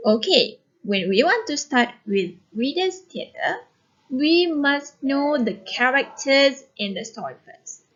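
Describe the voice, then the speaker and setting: A woman teacher leading a reading class, speaking step by step to pupils with expressive instruction. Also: on the high side. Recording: dull lo-fi recording.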